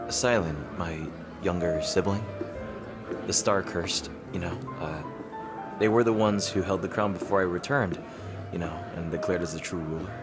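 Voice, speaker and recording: A man playing a guarded, flustered, gently teasing rival fantasy king in an intimate roleplay audio drama, speaking hesitantly. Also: sits low, loud music behind the voice, natural voice. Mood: earnest, subdued. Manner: hesitant, halting.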